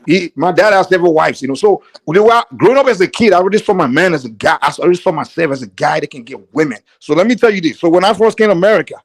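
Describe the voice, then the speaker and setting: Fast, high-voiced Nigerian man. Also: expressive, no room sound. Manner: insistent, keeps talking over interruptions. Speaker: guest on a call-in show. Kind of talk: heated panel debate.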